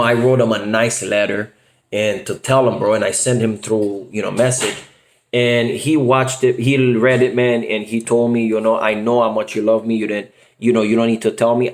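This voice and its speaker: A middle-aged man hosting a casual video chat in a roomy, echoey space. His voice sits at an average pitch. He talks earnestly and emphatically, repeating himself and dropping in slang like bro and man. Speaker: host of a reaction video channel.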